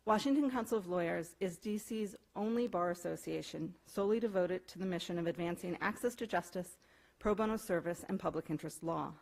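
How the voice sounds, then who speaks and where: A woman opens an event by reading prepared remarks to an audience. Her voice sits a bit low, and she delivers brisk, formal introductions at a steady pace. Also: vocal fry, rough recording. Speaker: event organizer introducing speakers. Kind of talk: scripted introduction. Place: event room before an audience.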